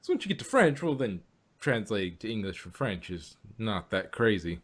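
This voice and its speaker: A man's voice: on the low side, sing-song, normal speed, a bit bright. Rambling man podcaster, wry asides. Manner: rambling, filler-heavy, wry. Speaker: podcast co-host. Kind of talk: casual review chat.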